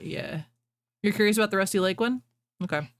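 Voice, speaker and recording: A woman's voice: mid-range voice, brisk, slight background noise. A woman livestreamer chatting casually with viewers and reading fan fiction aloud.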